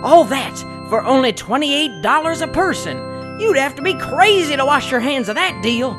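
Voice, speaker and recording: Woman playing a high, animated church-play pitchman. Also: moderate pace, music in the background. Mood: earnest, salesy. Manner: earnest salesy pitch. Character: earnest church passion-play actor pitching tickets. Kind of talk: parody church radio advertisement.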